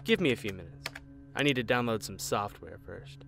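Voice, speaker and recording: A man playing an easygoing but excitable, tech-savvy lab assistant in an audio drama. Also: lowish voice, a little fast, vocal fry. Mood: relaxed, curious.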